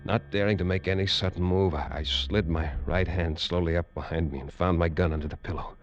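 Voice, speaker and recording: A man's voice: low-pitched, steady tone, brisk. An actor playing a tough, wary man who narrates his adventure in terse, hard-boiled 1940s radio style. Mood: tense, brooding. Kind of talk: 1940s radio acting, first-person noir narration. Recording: dull vintage radio recording, faint music.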